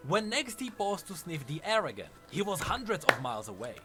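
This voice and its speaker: A man's voice: high voice, very animated, brisk, over loud music. A man narrating a scripted story in a solemn, theatrical way. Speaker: lore narrator.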